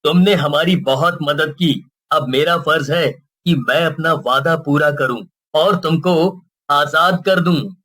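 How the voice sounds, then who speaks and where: Cartoon dad, acted by a man: high, forceful voice, concerned, protective and earnest. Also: takes it slowly, full character voice. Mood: grateful. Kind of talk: Hindi cartoon character acting.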